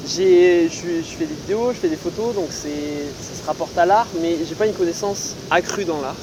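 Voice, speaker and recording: A young woman hosting a casual podcast interview outdoors, relaxed and hesitant, with many "euh" fillers. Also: fairly low pitch, moderate pace, noisy background. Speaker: podcast host. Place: outdoors in a city on a hot day.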